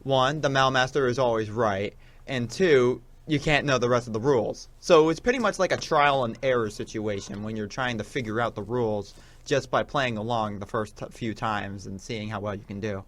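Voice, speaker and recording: A man's voice: mid-range voice, moderate pace, fairly bright tone. A young adult man chatting casually as a podcast co-host, rambling along and leaning often on "like". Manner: rambling, peppered with "like". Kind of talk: casual chat.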